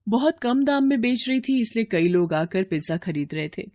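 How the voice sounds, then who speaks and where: A woman's voice: a little high, expressive, moderate pace, darkish tone. A woman reading a scripted children's moral story as narrator.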